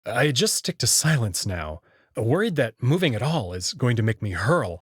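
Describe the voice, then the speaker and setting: A man reading scripted first-person visual novel narration in an expressive, dramatic way. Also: medium pitch, normal speed, slightly rough, no room sound, studio quality.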